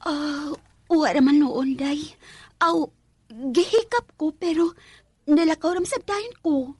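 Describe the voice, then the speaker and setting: A timid young housemaid in a radio drama, speaking in a very high voice. The delivery is anxious, hesitant and deferential, with a Filipino accent. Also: female voice, slow, darkish tone, no echo. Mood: resigned.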